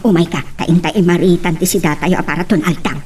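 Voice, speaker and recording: A woman's voice: on the low side, normal speed, a little mellow, natural voice. Woman playing a chatty mother, slightly distant. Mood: chatty, caring. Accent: Ilocano Filipino. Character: chatty, caring older mother. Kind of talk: Ilocano radio drama acting.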